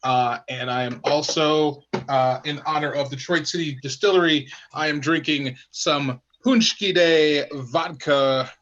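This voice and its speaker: A man co-hosting a podcast, laid-back and halting with fillers. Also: on the high side, fairly even intonation, a bit bright, muffled recording. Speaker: podcast co-host. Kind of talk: casual chat.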